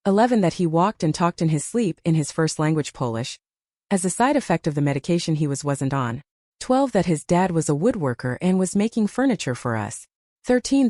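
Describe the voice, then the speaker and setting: A woman's voice: on the low side, warm tone, no room sound, studio quality. A woman narrating a reddit story aloud, reading out a long numbered list and lifting her voice on each number.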